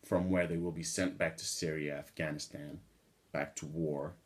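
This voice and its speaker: A man's voice: a bass voice, fairly even intonation, normal speed. A man in his thirties from the Dominican Republic, talking straight to the camera from a small room and building an earnest, indignant argument. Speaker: vlogger. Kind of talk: direct-to-camera monologue. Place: small indoor room at a table.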